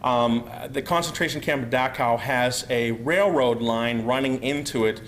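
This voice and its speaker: A man from Pennsylvania giving a talk to a live audience, loud and rambling, full of fillers and restarts. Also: mid-range voice, unhurried, slight background noise. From Pennsylvania, USA. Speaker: guest speaker.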